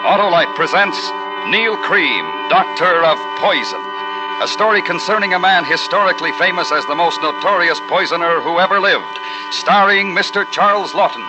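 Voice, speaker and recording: A 1950s radio drama announcer speaks in a formal, dramatic way. Loud music plays under his voice. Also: high-pitched, lively intonation, moderate pace, poor audio. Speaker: show announcer.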